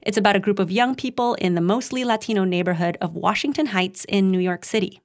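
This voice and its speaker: A woman's voice: medium pitch, fast. A woman from the Philippines hosting a podcast, chatting conversationally and guiding the segments. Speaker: podcast host.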